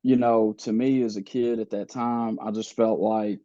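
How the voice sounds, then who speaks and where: A man in his thirties, born in the Philippines and raised in the US, telling his life story slowly with many pauses and fillers. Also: on the low side, little pitch movement, warm tone, no room sound, lo-fi sound. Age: 30s. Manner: slow, halting, many fillers and restarts. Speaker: podcast guest.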